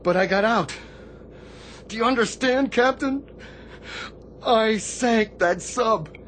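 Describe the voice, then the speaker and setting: Tormented older navy sailor, haunted survivor, halting and anguished, radio play, bit of noise behind. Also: male voice, sits high, a bit bright. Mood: anguished guilt. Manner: anguished, halting, repeating himself. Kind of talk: dramatic radio theatre acting. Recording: faint background noise.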